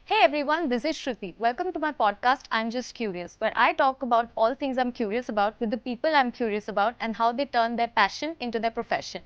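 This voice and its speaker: A woman's voice: fairly high pitch, a little fast, hardly any pauses, clear, resonant tone, no echo, lo-fi sound. A young woman hosting a podcast, reading her introduction and asking her guest friendly, curious questions. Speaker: podcast host. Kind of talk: podcast interview and scripted intro.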